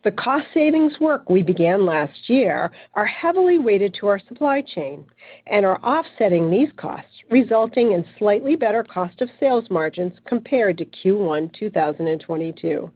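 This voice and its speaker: A woman's voice: medium pitch, expressive, normal speed, husky, no echo. A woman speaking as chief financial officer, reading a scripted financial report in a formal, businesslike manner. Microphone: phone conference line. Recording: tinny, narrow phone conference line.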